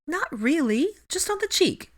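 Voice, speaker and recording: A woman voicing a flustered, defensive teenage witch with a high, breathless delivery. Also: big pitch swings, measured pace.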